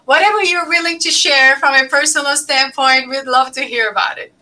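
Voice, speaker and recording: A woman from Lebanon hosts a live podcast, her voice bright and piercing and sitting a little high. She talks in a loose, chatty way, full of ums and self-corrections. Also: fairly quick. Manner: chatty, many ums and restarts. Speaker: podcast host. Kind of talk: casual live podcast interview.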